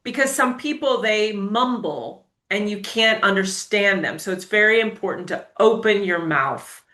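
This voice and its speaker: A woman's voice: medium pitch, expressive, a little slow, bright, forceful tone, echoey room. An American woman who tutors English, giving emphatic, encouraging advice in a casual interview. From United States.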